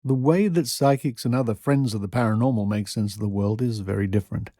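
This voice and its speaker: A man hosting a podcast, reading articles aloud with measured, deliberate phrasing. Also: fairly low pitch, dark tone, no room sound, clean studio sound. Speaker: podcast host.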